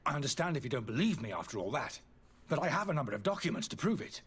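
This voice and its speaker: An actor playing a disgraced, indignant alchemist in a fantasy video game, pleading and justifying himself theatrically in a slightly husky voice. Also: male voice, mid-range voice, very animated, fast talker, fairly bright tone, heavily acted. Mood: bitter, indignant. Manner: agitated, defensive, theatrical delivery.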